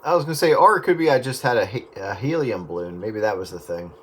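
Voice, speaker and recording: Man, low voice, casual radio hobbyist chat, rambling, joking, very heavy static noise. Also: expressive, quick pace. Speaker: amateur radio operator. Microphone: radio transceiver microphone. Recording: very noisy two-way radio transmission.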